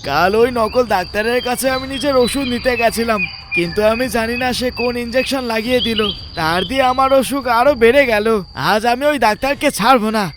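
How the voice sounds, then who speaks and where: Cartoon patient: high pitch, whining complainer in discomfort, music under the voice. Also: male voice, fast talker. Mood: angry. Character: suffering male village patient, whining and urgent. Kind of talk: cartoon dialogue.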